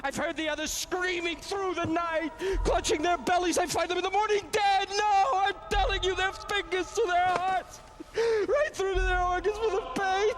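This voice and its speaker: An actor playing a shady, defiant immigrant thief in a video game, agitated and pleading with a strained, gravelly voice. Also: male voice, very high voice, fairly even intonation, moderate pace. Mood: frantic. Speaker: game character. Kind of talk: dramatic video game voice acting.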